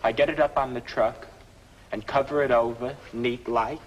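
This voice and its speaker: An actor playing an argumentative working-class truck driver who talks in aggrieved, colloquial phrases in a 1950s film. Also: male voice, medium pitch, steady tone, normal speed, dull audio, close to an everyday voice. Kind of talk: 1950s film comic character acting. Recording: noisy, reverberant old film soundtrack.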